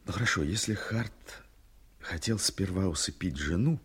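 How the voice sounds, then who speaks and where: Radio drama: troubled male lawyer, conscientious, earnest, low and husky voice. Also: expressive, moderate pace, a bit bright, heavily acted. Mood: worried. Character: anxious, conscientious male lawyer full of doubts. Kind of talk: Soviet-style radio play acting.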